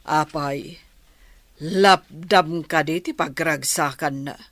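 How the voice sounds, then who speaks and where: A woman's voice: sits low, slow, a bit bright, no echo, studio quality, exaggerated voice. A woman with an Ilocano Filipino accent playing a scheming, falsely sweet stepmother in a radio drama. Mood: insinuating, coaxing.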